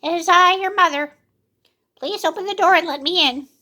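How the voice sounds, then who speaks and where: A woman voicing a sly storybook wolf who pretends to be a sweet, soft-spoken mother goat, coaxing gently. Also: very high-pitched, moderate pace, pitched above the performer's own voice. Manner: coaxing, sugary, falsely gentle. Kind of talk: children's storybook character voice.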